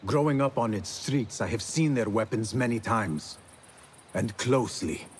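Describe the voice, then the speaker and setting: An actor playing a calm, earnest young male novice assassin in a video game cutscene, speaking in a measured way. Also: on the low side, slight background noise, natural voice.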